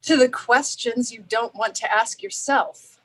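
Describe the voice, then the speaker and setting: A woman's voice: on the high side, unhurried, fairly bright tone, poor audio. A woman playing a watchful, nosy suburban housewife in a staged play reading. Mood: sympathetic. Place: video call.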